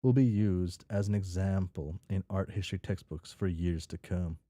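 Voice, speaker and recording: A man's voice: sits low, fairly even intonation, moderate pace, warm tone, no echo. A man casually chatting and reading aloud in an offhand way, sometimes stumbling over words as the host of a read-along stream. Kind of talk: casual chat while drawing and reading a story aloud.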